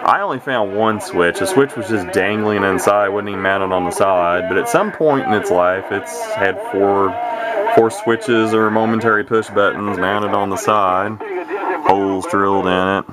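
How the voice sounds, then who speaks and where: A man giving a casual, unscripted hobbyist demonstration, talking in a halting, rambling, matter-of-fact way. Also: fairly low pitch, a loud crowd. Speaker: radio hobbyist demonstrating a repaired CB radio.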